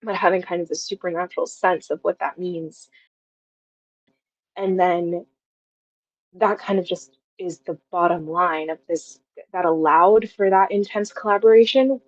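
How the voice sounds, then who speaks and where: A young woman in her twenties speaks in a fairly low voice, chatting informally as a guest and working out her thoughts as she goes. The recording is dry and somewhat dull, like a video call. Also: steady tone, a little slow, dry recording. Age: 20s. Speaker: guest, audio drama writer. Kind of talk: casual interview chat.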